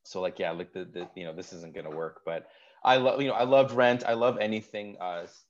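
A very low, deep-voiced man in his forties, originally from Wisconsin. He tells stories freely as a guest in a relaxed conversation, filling his speech with "like" and "um". His audio is slightly dull and muffled. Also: a little fast. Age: 40s. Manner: animated storyteller, frequent "like" and "um". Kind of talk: casual conversation.

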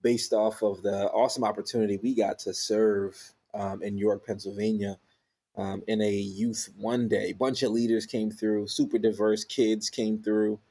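A man's voice: fairly low pitch, normal speed, slightly rough. Laid-back man hosting a podcast. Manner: relaxed, many "um" fillers. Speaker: podcast host.

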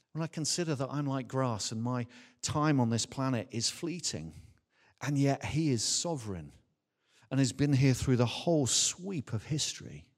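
A man, a Baptist minister, preaching a sermon in an earnest, emphatic way with rhetorical questions and deliberate repetition. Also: medium pitch. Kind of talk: sermon to a congregation. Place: large church or hall, speaking from a stage. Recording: reverberant hall with long echo.

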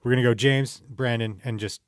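A man's voice: mid-range voice, steady tone, brisk, no room sound, clean studio sound. A man hosting a podcast quiz in casual, playful banter with a jokey manner. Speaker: podcast host running a quiz. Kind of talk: casual comedic banter with guests.

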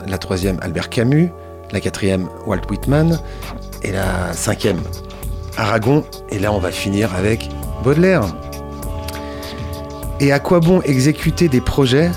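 A man hosting a radio show, talking in a loose, rambling way with many fillers and restarts. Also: mid-range voice. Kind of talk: casual radio chat.

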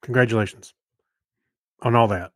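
A man's voice: mid-range voice, fairly even intonation, moderate pace, a bit bright, no echo, clean studio sound. A man chatting casually as a podcast co-host in an easygoing, agreeable way. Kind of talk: casual chat.

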